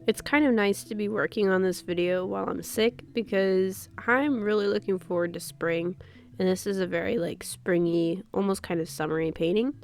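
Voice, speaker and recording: A young woman hosting her art channel, talking casually while she paints. She has a cold, so her voice sounds stuffy, and she rambles in a chatty way with soft laughs and fillers. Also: mid-range voice, normal speed, soft background music. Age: young adult. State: stuffy, sick voice with a cough. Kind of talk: casual chat over a paint-with-me video.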